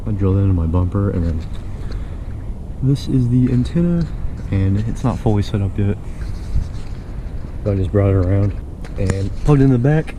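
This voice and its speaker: A man casually walking through a do-it-yourself wiring job, relaxed and rambling with plenty of fillers. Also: fairly low pitch, moderate pace, long pauses, background noise. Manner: laid-back, rambling, filler-heavy explaining. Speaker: DIY video host. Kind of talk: casual how-to walkthrough. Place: inside a pickup truck and around it, at night.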